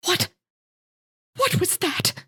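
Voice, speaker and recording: An actress playing a wealthy, demanding invalid wife, fretful and hysterical, in a melodramatic suspense radio play. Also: female voice, slow pace, pushed and bright. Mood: agitated, indignant.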